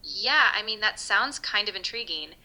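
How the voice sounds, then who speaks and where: A synthetic voice playing a friendly female boutique owner with a strikingly bright, crisp-edged tone. She is polite, curious and agreeable, chatting conversationally as if taking a sales call. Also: medium pitch, lively intonation, moderate pace, poor audio. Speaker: AI voice assistant in a sales call role-play. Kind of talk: conversational role-play, playing a prospective customer.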